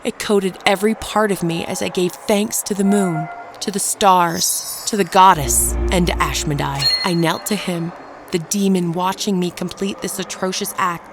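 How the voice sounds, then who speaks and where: A woman playing a ruthless, unrepentant ageless murderess, narrating her tale in a measured, dramatic audio drama style. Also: on the low side, steady tone, close to an everyday voice. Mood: cold, gloating. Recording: narration over prominent background music. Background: music.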